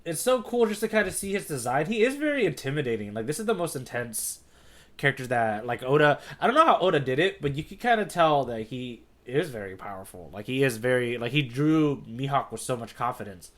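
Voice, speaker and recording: A man's voice: mid-range voice, very animated, fairly quick. Enthusiastic, explanatory man in casual podcast chat. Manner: enthusiastic, explanatory, often checks with "right?". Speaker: podcast co-host. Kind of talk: casual chat discussing a manga.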